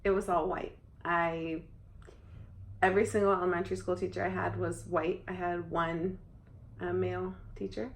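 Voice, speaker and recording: A woman's voice: on the low side, flat delivery, moderate pace, creaky. Young woman chatting in an echoey room. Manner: conversational, frequent filler "like". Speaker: interviewee. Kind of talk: casual conversation.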